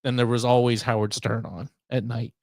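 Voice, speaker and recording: A man's voice: medium pitch, little pitch movement, normal speed, darkish tone, no room sound, studio quality. A middle-aged American man chatting casually as a podcast host. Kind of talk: casual chat.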